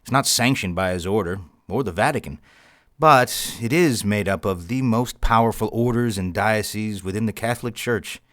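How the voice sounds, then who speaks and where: A grave, learned bishop played by a male actor in an audio drama. His voice sits fairly low and is recorded dry. He explains things in measured, formal phrases with a weary, dismissive edge. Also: a bit bright, no echo, lightly acted. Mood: matter-of-fact.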